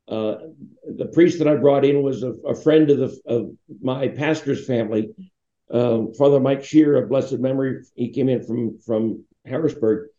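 A man's voice: mid-range voice, dark tone. An American man in his sixties reminiscing in a conversational interview, telling anecdotes in a rambling way. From Pittsburgh, United States. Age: 60s. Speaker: interview guest, foundation founder. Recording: dull, roomy, echoing sound.

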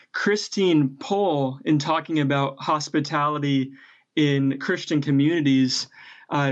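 A man speaking thoughtfully and haltingly as a podcast guest. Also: a little high. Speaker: podcast guest, workshop leader. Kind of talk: podcast discussion. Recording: dull lo-fi podcast recording.

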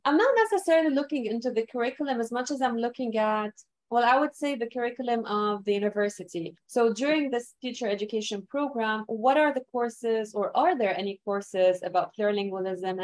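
A woman's voice: a little high, fairly quick. A young woman from Lebanon whose first language is Arabic, giving thoughtful, self-correcting answers as a guest researcher in an interview. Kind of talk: academic interview.

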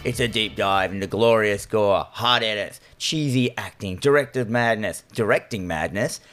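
Australian guy, voice gone hoarse and low, cracking crude jokes as a podcast cohost. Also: normal speed, a bit bright, soft background music. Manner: joking, crude, playful. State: hoarse, lowered voice. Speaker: podcast co-host. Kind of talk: casual banter.

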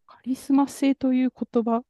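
Mellow-voiced man rambling about music. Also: very high-pitched, a little slow, no echo, studio quality. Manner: relaxed, rambling storyteller with frequent fillers. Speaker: podcast co-host. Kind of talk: casual chat about music.

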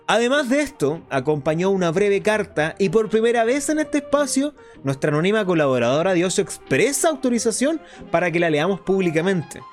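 An actor playing a flamboyant, sardonic underground radio host in a radio drama, talking in a grandiloquent, ironic, defiant way. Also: male voice, on the high side. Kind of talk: theatrical radio drama monologue.

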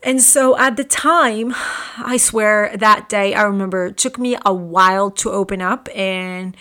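An earnest woman in her late twenties from Morocco talks through a personal story on her podcast. Her voice is bright and clear, with a pitch in the middle range. She speaks haltingly and often restarts her sentences. She is recording in a room at home. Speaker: podcast host.

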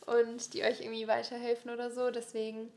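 A young woman with a fairly high voice with a lot of creak. She chats informally and agreeably as the co-host of a podcast. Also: monotone, brisk, mellow. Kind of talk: casual chat.

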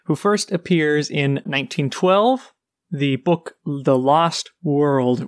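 A man's voice: fairly high pitch, dry recording, studio quality. A man co-hosting a book podcast, explaining characters and history in an informative way. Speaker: podcast co-host. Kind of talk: casual book chat.

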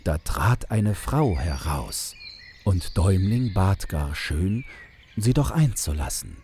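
Very deep, slow male storyteller. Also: very animated, dark tone, a little hiss or hum. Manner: measured storytelling. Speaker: narrator. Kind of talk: scripted fairy-tale narration.

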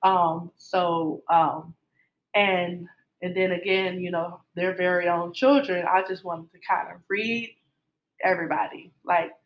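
A woman's voice: fairly low pitch, steady tone, a little slow, lo-fi sound. A middle-aged woman casually telling her love story, rambling with many fillers and restarts.

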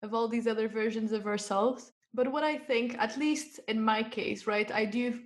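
A woman's voice: a little high, steady tone, moderate pace. A woman interviewing a guest in a casual conversation, thinking aloud and tagging her points with "right?". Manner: thoughtful, tags questions with "right?".

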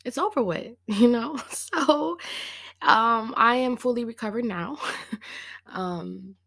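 Brief: twenties woman, podcast host, a bit hoarse, gravelly fry, chatty with lots of ums. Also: on the high side, slow, fairly bright tone. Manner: chatty and slangy, many fillers and small laughs. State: slightly hoarse after illness. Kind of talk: casual solo podcast chat.

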